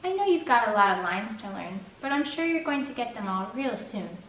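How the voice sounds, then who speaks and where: A woman voicing a sweet, earnest, devout teenage girl who talks in a bright, polite way. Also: on the high side, very animated, brisk. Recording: echoey room, distant mic, faint air-conditioner hum.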